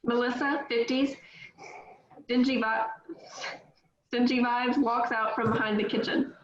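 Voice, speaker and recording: A woman reading stage directions in a steady, matter-of-fact way at a table read. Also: fairly high pitch, measured pace. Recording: echoey, dull, faint noise.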